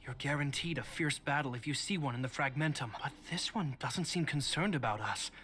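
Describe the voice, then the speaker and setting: Actor playing a cautious young guard captain, bright tone, calm measured commands. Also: male voice, medium pitch, natural voice. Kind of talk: video game voice acting.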